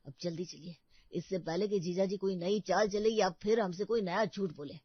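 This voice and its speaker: Woman plays young brother in a cartoon tale, earnest, indignant, mid-pitched, dry dulled sound. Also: normal speed, husky, no room sound, muffled recording, close to an everyday voice. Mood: indignant, urgent.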